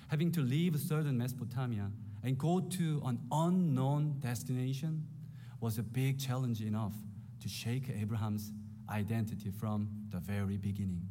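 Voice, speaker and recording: A man's voice: medium pitch, darkish tone, slight background noise. Korean-accented male preacher, earnest sermon. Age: 40s. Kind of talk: sermon with scripture reading.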